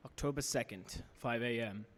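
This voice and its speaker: Gothic radio play: male actor as earnest, clipped young husband, medium pitch, hall reverb. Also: normal speed, slight background noise, lightly acted. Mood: grim. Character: weary young husband reporting grim findings. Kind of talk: radio drama journal narration. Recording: reverberant live theater stage.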